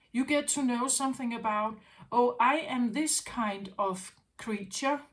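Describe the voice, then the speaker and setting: Slow, musing woman with a higher voice. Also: low-quality recording. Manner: musing, repeats phrases, asks "right?". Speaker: intuitive tarot reader. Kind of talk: unscripted spiritual monologue.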